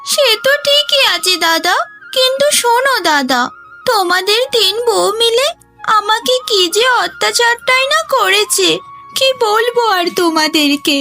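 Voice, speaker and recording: Woman playing very high-pitched scheming young sister. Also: expressive, a little slow, sharp tone, full character voice. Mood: plaintive, wronged. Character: scheming, self-pitying young unmarried sister in a village household. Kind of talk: melodramatic Bengali cartoon dialogue. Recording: music behind the voice.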